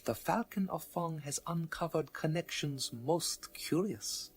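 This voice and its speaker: A humble but wily antique-shop keeper in a radio play. He talks in stilted, elaborately polite broken English, always lowering himself as he passes on information. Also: measured pace, slightly rough. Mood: humble, cryptic. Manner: stilted, overpolite broken English, self-deprecating. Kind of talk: radio drama character dialogue.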